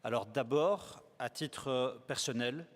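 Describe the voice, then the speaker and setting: A man, giving a formal committee speech in a measured, deliberate way with hesitant fillers. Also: medium pitch. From Belgium. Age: 40s. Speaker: parliamentarian candidate for rapporteur. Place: assembly conference hall. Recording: long reverb of a large hall.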